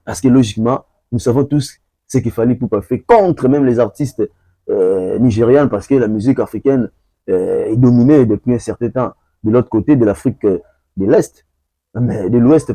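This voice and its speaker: A man from Congo giving emphatic opinion commentary, repeating himself and pausing often with hesitations. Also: medium pitch, dark tone, no room sound. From Congo (DRC). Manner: emphatic, repetitive, hesitant with many fillers.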